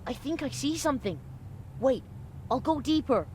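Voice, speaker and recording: Excited adventurous young boy, high voice, game drama, faint rumble behind. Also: moderate pace. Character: eager, adventurous little boy scavenger. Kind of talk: video game dramatic acting.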